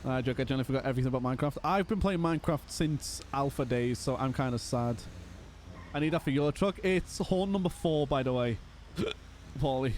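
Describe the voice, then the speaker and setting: A hoarse young man, chatting casually and laughing as he streams a roleplay game. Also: mid-range voice, brisk, a little mellow, slight background noise. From Liverpool, England. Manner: chatty, laughs often, calls people brother. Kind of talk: casual live-stream chat.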